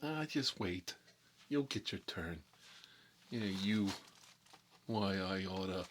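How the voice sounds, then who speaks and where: A man's voice: low-pitched, expressive, a little hiss or hum. A man playing a boastful Italian-American mob boss in an improvised ASMR role-play, rambling and muttering between bites of food. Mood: needling, indignant. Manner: rambling, halting, muttering, talking with food in his mouth. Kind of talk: improvised ASMR character role-play, eating while talking.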